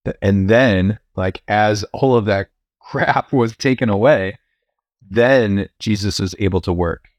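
Young male priest, deep voice, casual storytelling, lots of "um", dry close mic. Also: takes it slowly, no room sound. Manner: relaxed, many fillers and restarts, occasional laughs. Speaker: priest and university chaplain.

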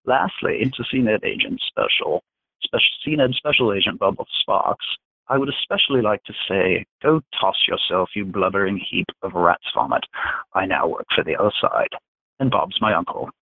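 A man's voice: low-pitched, expressive, normal speed, gravelly, full character voice. A man voicing a cruel telepathic male crime boss, speaking in a mocking, commanding way. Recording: tinny phone line.

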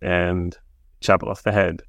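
A man's voice: low voice, level tone, takes it slowly, creaky, a bit bright, no room sound, clean studio sound. A man co-hosting a podcast, laid-back and agreeable, tossing in jokes as he recaps a TV episode. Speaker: podcast co-host. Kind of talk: casual chat recapping a TV episode.

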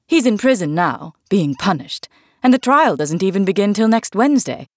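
A woman's voice: mid-range voice, big pitch swings, moderate pace, a little mellow, no echo, studio quality. A woman voicing a kindly, muddle-headed storybook queen in an airy, matter-of-fact way. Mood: airy, careless.

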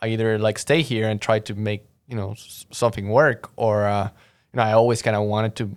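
A young man from Mexico speaks as a podcast guest. His voice is low and smooth. He wanders through his story with plenty of fillers. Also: little pitch movement, a little fast. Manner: rambling, many fillers and restarts. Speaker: guest, audio engineer. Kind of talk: casual interview chat.

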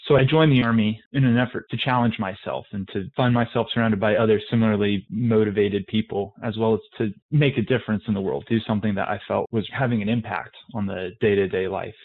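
A man from Colorado, a soldier guest answering interview questions in a calm, measured way. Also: lowish voice. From Colorado, United States. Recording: tinny phone-like remote line.

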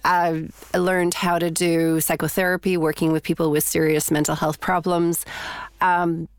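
Middle-aged Canadian woman, lowish gravelly voice, thoughtful academic answering questions. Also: little pitch movement, normal speed, no echo. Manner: thoughtful, many fillers and restarts. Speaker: psychology professor and guest. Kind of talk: interview answers.

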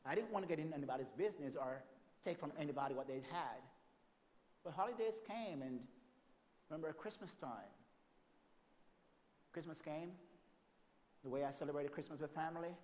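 A man with a Jamaican accent preaching a sermon, moving between loud, emphatic declarations and soft, hushed storytelling. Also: a little high, animated, moderate pace, pauses often, slight background noise. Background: congregation calling out amens.

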